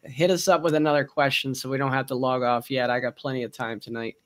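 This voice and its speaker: A man's voice: mid-range voice, steady tone, fast, a bit bright. A man in his forties with a Baltimore accent, co-hosting a casual livestream and telling stories with frequent laughs. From Baltimore, Maryland. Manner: chatty storyteller, laughs often. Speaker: co-host.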